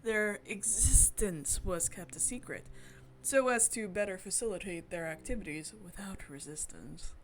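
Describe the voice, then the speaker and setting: A woman hosting a game stream, reading story text aloud dramatically with giggly, enthusiastic asides. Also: medium pitch. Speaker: gaming channel host streaming a visual novel.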